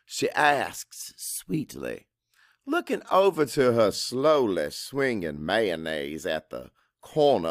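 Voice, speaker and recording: A man's voice: on the low side, a bit bright, no echo, studio quality. A man reading a first-person audiobook as scripted dramatic narration.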